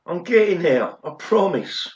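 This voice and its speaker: A man's voice: high voice, very animated, a little slow. Man as daft pop star, roomy dull recording. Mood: chatty, pleased. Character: self-important, daft male pop star guest. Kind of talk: amateur radio play acting.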